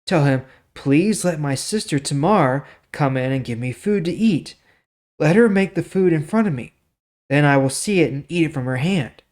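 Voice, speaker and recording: A man's voice: a little high, animated, normal speed, a little mellow, pitched above the performer's own voice, close to an everyday voice. A man voicing a prince's clever, scheming friend, coaxing and insistent, in a dramatized scripture reading.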